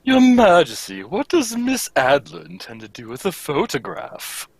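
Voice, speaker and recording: A fussy, loyal gentleman sidekick played by a man from an old radio mystery. His voice is creaky and rough, leaping all over in pitch, polite and full of eager questions. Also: very animated, a little slow, no room sound, exaggerated voice. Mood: inquisitive. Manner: polite, exclamatory, inquisitive. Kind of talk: old-time radio mystery acting, read live.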